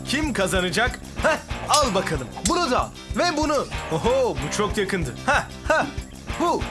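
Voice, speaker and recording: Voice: medium pitch, big pitch swings, slow pace. An actor dubbing a boastful, showy young cartoon swordsman. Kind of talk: Turkish cartoon dub. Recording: music behind.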